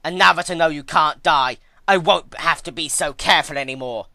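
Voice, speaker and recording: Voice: mid-range voice, brisk, bright, forceful tone, no room sound, studio quality, pitched above the performer's own voice. A performer voicing a furious young female pony tyrant in emphatic, accusing outbursts for a dramatic story reading. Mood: menacing, furious.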